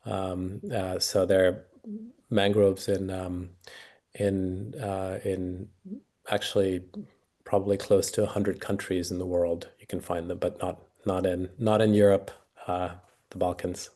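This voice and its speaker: Low, flat, gravelly male speaker; halting webinar answers with many fillers. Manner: hesitant, many fillers and restarts. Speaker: guest speaker.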